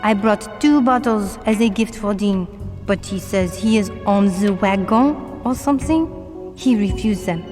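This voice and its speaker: A woman's voice: mid-range voice, measured pace, a little mellow, in a large hall, soft background music, lightly acted. An actress voicing a cheerful young French woman in a game, with a French accent and earnest, slightly broken English. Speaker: game character.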